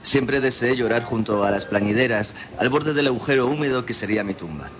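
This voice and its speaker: A man's voice: mid-range voice, fairly quick, over music, lightly acted. An actor with a Castilian Spanish accent playing a morbidly defiant dying man in a solemn, florid, theatrical radio drama monologue. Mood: morbid, wistful. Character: dying man, a self-styled monster, morbidly defiant, scripting his own funeral. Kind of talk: scripted literary radio monologue.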